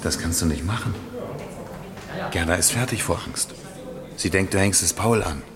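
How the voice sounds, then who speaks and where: A man plays a distressed working man with a very deep voice in a radio crime play. He is loyal to his friends but torn and unsure of himself. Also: moderate pace, a loud crowd, close to an everyday voice. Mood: urgent, reproachful. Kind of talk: German radio crime drama acting.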